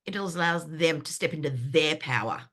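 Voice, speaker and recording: A woman in her fifties, croaky from a sinus infection, chatting enthusiastically as a guest on a video call, with lots of fillers and restarts. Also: low voice, moderate pace, a bit bright. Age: 50s. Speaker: brand foundations coach. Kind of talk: guest on an online group call.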